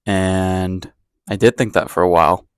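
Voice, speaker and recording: A man's voice: sits low, flat delivery, unhurried, smooth, dry recording, clean studio sound. Rambling young man talking to camera. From Ecuador. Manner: rambling, self-deprecating, candid. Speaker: vlogger. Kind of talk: casual daily vlog monologue. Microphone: camera mic, talking to camera.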